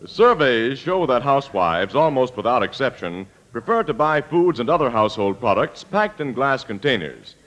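A man's voice: mid-range voice, big pitch swings, normal speed, hardly any pauses, fairly bright tone, dull audio, faint music behind. An American man working as a radio announcer, reading scripted copy formally and emphatically in 1940s style. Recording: vintage radio broadcast recording.

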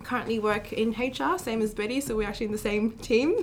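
A woman, a guest on a podcast, speaking in a bubbly, animated way. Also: fairly high pitch, quick pace. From Australia. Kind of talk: conversational interview.

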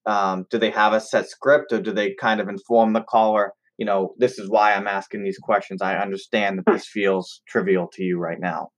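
A man interviewing in a conversational, measured way, framing his questions with empathy. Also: fairly low pitch, steady tone. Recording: slightly dull lo-fi audio.

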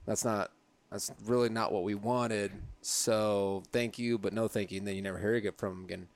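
A man's voice: on the low side, fairly even intonation, moderate pace. A man hosting a casual interview, easygoing, asking loose questions and giving many short replies. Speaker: interviewer, host.

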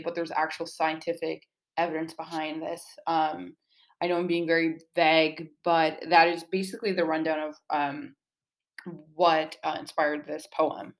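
A young woman giving informal, explanatory interview answers in a casual, earnest way, often dropping "like" into sentences. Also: deep voice. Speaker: student poet being interviewed about own poem.

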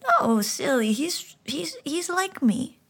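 A woman voicing a shy young boy who stammers and speaks high and eagerly. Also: very animated, takes it slowly, a bit bright, heavily acted. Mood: timid eager.

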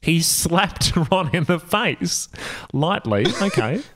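A man's voice: on the high side, expressive, takes it slowly, a bit bright. Amused man reading aloud and riffing. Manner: amused, often breaking into laughter. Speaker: podcast guest reading aloud. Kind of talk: comedic read-aloud with joking asides.

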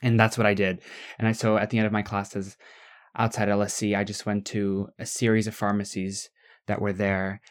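A young man, not a native speaker of English, casually telling a rambling story as a podcast co-host, with restarts and soft laughs. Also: lowish voice, flat delivery, moderate pace.

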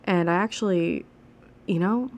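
A woman's voice: lowish voice, measured pace. A woman in her thirties hosting a casual solo podcast, rambling and chatty, frank and swearing now and then. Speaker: podcast host. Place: alone at home.

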